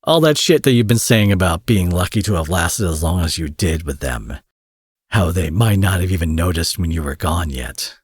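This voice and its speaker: A man acting out a cocky, foul-mouthed young supervillain in an audio roleplay, husky, mocking and possessive, taunting his captive with drawled pet names. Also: sits low, animated, normal speed, no echo, clean studio sound. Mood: incredulous, intense. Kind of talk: dramatic roleplay monologue addressed to the listener.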